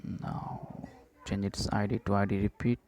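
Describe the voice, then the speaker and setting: A man slowly talking through app-building steps in a coding tutorial, dictating each step with short pauses and fillers. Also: on the low side. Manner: slow, flat dictation of code steps with "uh" fillers. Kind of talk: screen-recorded coding walkthrough.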